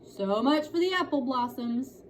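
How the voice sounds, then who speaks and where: A woman's voice: high voice, expressive, unhurried, pitched above the performer's own voice. A woman voicing a grumpy, despairing father in a storybook reading. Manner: grumbling, despairing. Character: grumpy gardening father, gloomy about the weather. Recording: clearly noisy room recording.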